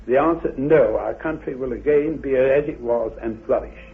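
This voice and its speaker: A man with a husky, gravelly voice giving an expert account from historical sources in halting, deliberate phrases. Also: mid-range voice, slight background noise. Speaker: expert guest on ancient legends. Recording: tinny phone-like old radio recording.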